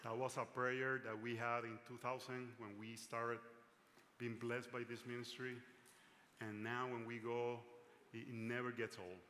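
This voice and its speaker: A man's voice: medium pitch, little pitch movement, a little slow, bright, forceful tone. A man from Puerto Rico with a Puerto Rican Spanish accent, preaching in a conference hall and joking about himself. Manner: joking, self-deprecating. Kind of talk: sermon with live interpretation. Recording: large hall reverb, faint noise.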